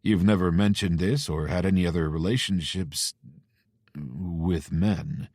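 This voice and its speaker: A man voicing a calm middle-aged father who speaks in a measured, careful way. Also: deep voice, no room sound, clean studio sound. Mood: cautious.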